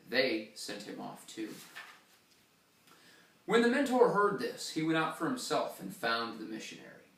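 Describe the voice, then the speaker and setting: A man reads a story aloud with dramatic pauses, his voice rising and falling in loudness. He stands back from the microphone, and the big church room rings around his voice. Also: mid-range voice, echoey room, distant mic, rough recording. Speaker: reader. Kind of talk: storytelling reading.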